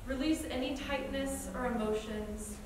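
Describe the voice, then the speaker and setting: A woman acting in a staged reading as a gentle guide who gives deliberate, instructive meditation directions. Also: high voice, fairly even intonation. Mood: calm, instructive. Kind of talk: live theatre reading, guiding a group meditation. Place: large indoor theatre stage. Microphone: far camera in audience. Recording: echoey theatre, distant, noisy.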